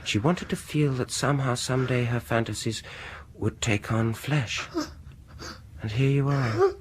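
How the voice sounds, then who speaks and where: An actor playing a sinister, vengeful male dentist in a radio horror drama, gloating and deliberate, lingering on words and dropping into whispers. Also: medium pitch, slight background noise, close to an everyday voice, poor audio. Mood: sinister, intimate.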